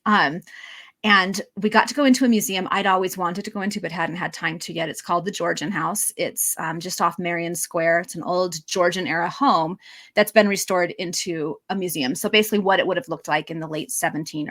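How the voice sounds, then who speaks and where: A woman podcast co-host telling a travel story in a casual, easygoing way, pausing often as she recalls details. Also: fairly low pitch, fairly even intonation, a bit bright. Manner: easygoing anecdote-telling with frequent pauses. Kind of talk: casual chat.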